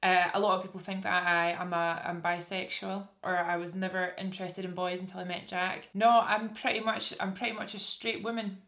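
A young Scottish woman talks straight to her camera in a small curtained room, her voice sitting a little low. She chats loosely and self-deprecatingly, tripping over her own restarts and laughing things off. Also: little pitch movement, fairly quick, few pauses, a bit bright. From Scotland. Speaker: beauty vlogger. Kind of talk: casual chat answering viewer assumptions.

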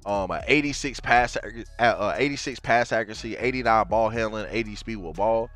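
A man's voice: on the low side, fairly quick, a bit bright. A young man with a cold and a stuffy voice, casually walking viewers through his video game build from his home gaming setup, peppering his talk with "man" and "bro". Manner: laid-back, addresses viewers as y'all, fillers like man and bro. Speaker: gaming YouTuber.

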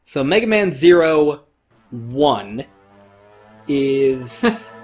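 A man hosts a casual let's play in a mid-range voice with music faintly behind him. He is emphatic and opinionated, and he swears freely as he comments. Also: medium pitch. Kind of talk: casual, profane gameplay commentary.

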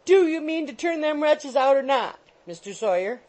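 A storybook landlady in full fury, shrill and very high. She screams and scolds contemptuously, her voice swooping as she harangues. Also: expressive, moderate pace, a little mellow. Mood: scornful, scolding. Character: shrill, furious, scolding working-class landlady.

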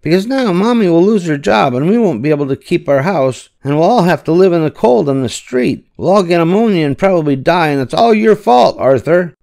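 A man's voice: a little high, animated, a little fast, vocal fry, few pauses, a little mellow, voice pushed up. A man voicing a bossy, dramatic little girl aardvark who tattles, in a children's storybook. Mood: dramatic despair.

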